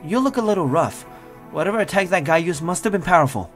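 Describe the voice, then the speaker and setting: A woman's voice: lowish voice, expressive, quick pace, faint music behind, close to an everyday voice. A woman voicing a caring, perceptive Pokémon partner in a fan audio drama. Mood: worried.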